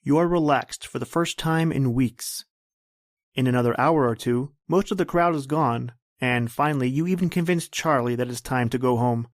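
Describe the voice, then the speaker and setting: A man playing an ominous narrator of an old-time radio suspense drama, speaking deliberately and insinuatingly as if straight to the hero. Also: mid-range voice, no echo, clean studio sound, close to an everyday voice. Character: ominous male narrator addressing the hero as you. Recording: music under the voice. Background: music.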